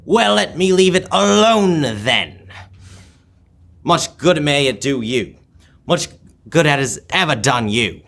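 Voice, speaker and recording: A man's voice: on the high side, lively intonation, slow, creaky, pauses often, a bit bright, full character voice. A young man playing a bitter, miserly old businessman in a live radio drama, speaking in a curt, scornful, emphatic way. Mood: angry. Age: teenager or young adult.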